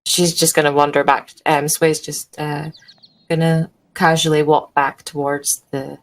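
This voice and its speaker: A woman playing a tabletop role-playing game, casually and haltingly describing what her character does. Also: deep voice, little pitch movement.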